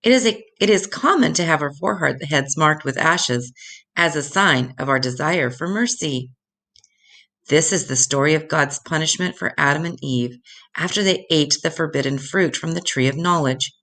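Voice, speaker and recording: An older woman reading Bible stories aloud to children in a deliberate, instructive way, addressing them directly. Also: low-pitched, a bit bright.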